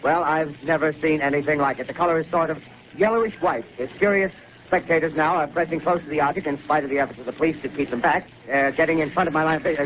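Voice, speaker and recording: An actor playing an on-the-spot radio reporter in a 1930s radio drama, talking in urgent, halting live commentary. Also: male voice, on the high side, natural voice. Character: excitable on-the-spot radio reporter, adult man. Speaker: field reporter. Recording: dull, hissy 1930s radio broadcast.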